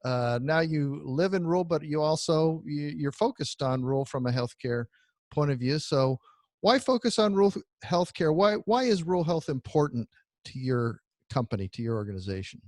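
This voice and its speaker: A man hosts a radio interview with a friendly, upbeat manner. His voice is fairly high and moves lively up and down. The sound is dry and close. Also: normal speed, dry recording. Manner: friendly, upbeat, asks questions. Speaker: radio show host. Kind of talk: interview hosting.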